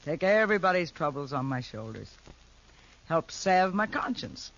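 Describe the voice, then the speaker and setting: An actor playing a weary, guilt-ridden frontier man in a 1950s radio western, talking slowly and ruefully. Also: fairly high pitch, very animated, fairly bright tone. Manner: slow, rueful and confessional. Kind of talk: 1950s radio drama acting. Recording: dull, faint-hissing old radio recording.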